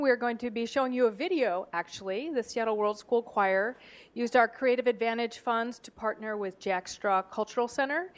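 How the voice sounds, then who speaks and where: Woman, choir director, friendly and slightly halting public introduction. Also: a little high.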